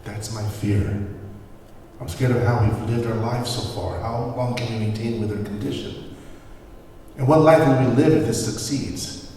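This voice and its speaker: A man's voice: medium pitch, unhurried, mellow, natural voice. A man playing a worried, weary, brooding husband at a script table read. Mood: worried, resigned. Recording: echoey hall, distant mic, background noise.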